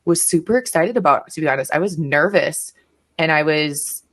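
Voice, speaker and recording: A woman's voice: deep voice, moderate pace, fairly bright tone. A middle-aged woman, a podcast guest, chatting casually and frankly about her own experiences. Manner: frank, self-reflective. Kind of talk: casual reflective chat.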